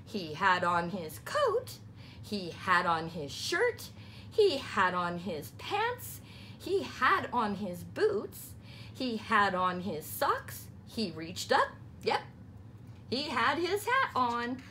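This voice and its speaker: Woman storyteller, children's read-aloud, bright, highly animated pitch, deliberate playful delivery, faint background noise. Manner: deliberate, playful emphasis on sound words. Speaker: storybook reader.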